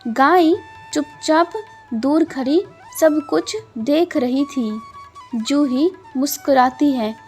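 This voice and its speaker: A woman narrates a cartoon moral story in a gentle, measured way. Her voice is high and her pace slow and unhurried. Soft music runs faintly underneath.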